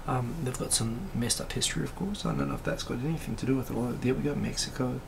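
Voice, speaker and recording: A man hosting a live stream, chatting casually and rambling with many fillers, with a raspy voice right now. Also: medium pitch, fast, noisy background. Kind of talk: casual live-stream chat reading comments.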